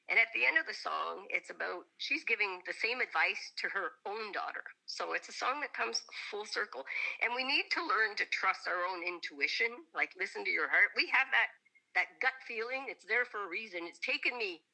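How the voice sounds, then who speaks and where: Raspy woman in her sixties with a cold. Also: medium pitch, moderate pace, poor audio. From Atlantic Canada. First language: French. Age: 60s. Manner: chatty, laughs often, expressive. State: hoarse from a bad cold. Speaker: singer guest. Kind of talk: casual interview chat.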